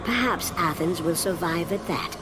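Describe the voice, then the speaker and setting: A man's voice: fairly high pitch, unhurried, background noise, natural voice. A man playing a knowing old gravedigger in a video game, with a cryptic, teasing, fatherly way of talking. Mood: wry, cryptic. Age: older. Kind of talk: dramatic video game acting.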